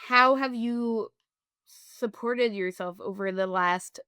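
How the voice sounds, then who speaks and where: A woman hosting a podcast interview, asking questions and reacting softly. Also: on the high side, takes it slowly, clear, resonant tone, no echo, studio quality. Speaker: podcast host.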